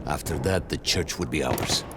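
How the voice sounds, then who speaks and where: An aging soldier looking back on his war, in a very deep voice. He tells it gravely and with measured drama, like a game's narrator. Loud battle noise and engines run behind him. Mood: grave, reflective. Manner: solemn, measured storytelling. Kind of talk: dramatic first-person game narration. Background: war game sound effects and score.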